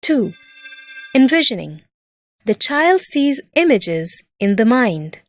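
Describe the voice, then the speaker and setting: Slow, expressive female lesson narrator. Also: a little high, no echo, clean studio sound. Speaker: teacher narrating an educational video. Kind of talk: scripted instructional narration.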